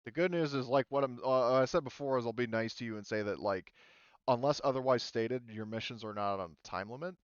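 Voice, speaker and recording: A man's voice: mid-range voice, a little fast, clean tone, dry recording, muffled recording. A man refereeing a casual tabletop game as game master, hesitant and thinking aloud as he talks.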